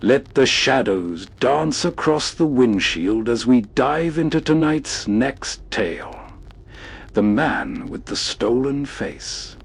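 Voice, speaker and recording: A man's voice: mid-range voice, animated, takes it slowly, gravelly, husky. A man hosting with scripted, atmospheric narration. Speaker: host.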